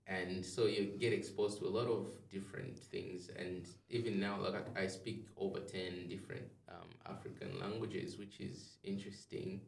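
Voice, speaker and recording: A low-voiced man from Zambia chats loosely as a podcast guest, rambling with frequent ums and restarts. His voice has a gravelly fry. He sits back from the mic in a reverberant room. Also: little pitch movement, normal speed, few pauses, recorded from a distance. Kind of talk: casual interview chat.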